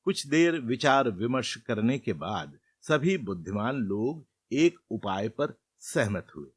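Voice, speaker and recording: Man narrating with slow, lively intonation. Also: mid-range voice, breathy edge, dry recording. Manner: measured, expressive storytelling. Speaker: storyteller narrator. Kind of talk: scripted fairy tale narration.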